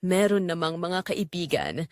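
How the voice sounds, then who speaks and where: A female narrator reads as a bashful Filipino working man in a romance audio story. He is reserved and awkward, with an expressive pitch and a dry, close sound. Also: a little slow, dry recording. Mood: modest, reserved.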